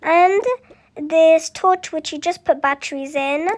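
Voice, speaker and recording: The voice is very high and childlike, with a slow, unhurried delivery. It speaks softly, acting out everyday family dialogue for an improvised children's roleplay story. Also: steady tone. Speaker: voiced roleplay video creator.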